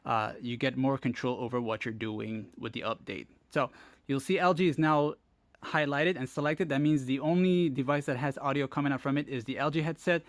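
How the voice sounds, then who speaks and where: A man's voice: mid-range voice, steady tone, a little fast, dry recording. A man hosting a tech tutorial, talking viewers through steps in a casual, informal way and addressing them directly. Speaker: host of a phone tech tutorial channel. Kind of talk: casual step-by-step tutorial narration.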